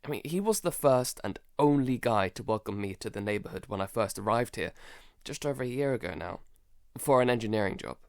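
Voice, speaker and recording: Male actor as anxious, sleepless shut-in for audio drama diary; low creaky voice, halting, sighing, very dry close sound. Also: lively intonation, dry recording. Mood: subdued, uneasy. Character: Jason, anxious, sleepless man barricaded at home, keeping a diary. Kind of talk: audio drama, first-person diary monologue.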